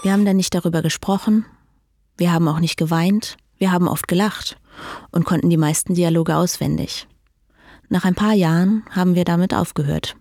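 A woman in her thirties narrates a radio documentary in a calm, reflective way. Her voice sits low and has a gravelly, creaky edge. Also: fairly quick, dry recording. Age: 30s. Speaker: journalist and documentary author.

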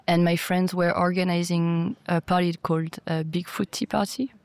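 A woman's voice: on the low side, fairly even intonation, moderate pace, darkish tone, no room sound. A young adult woman from France, speaking as a music producer in a thoughtful, hesitant way. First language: French. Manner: thoughtful, hesitant, with fillers and restarts. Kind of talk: interview talk.

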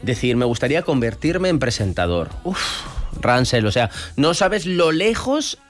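A man from Spain hosting a casual panel chat, talking with emphatic, animated energy and laughing easily. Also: medium pitch, a little slow, soft background music. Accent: Spain Spanish. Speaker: host.

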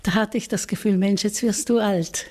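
A woman's voice: mid-range voice, steady tone, moderate pace, a little mellow. A woman in her eighties with a Swiss German accent, telling stories in a frank, reflective interview. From Switzerland. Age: 80s. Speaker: interviewee, psychologist. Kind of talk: recorded interview.

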